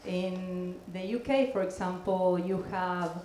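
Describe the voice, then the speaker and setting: A woman in her thirties from Argentina performing stand-up comedy with a deadpan, measured delivery and pauses. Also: lowish voice. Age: 30s. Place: live comedy venue, on stage. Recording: echoey room, clear background noise.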